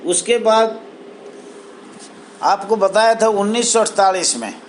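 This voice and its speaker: High-pitched man speaking slowly and deliberately. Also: slight background noise. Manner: deliberate, halting, emphatic phrase-by-phrase delivery. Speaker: spokesman for a welfare association. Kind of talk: formal address to camera, appeal.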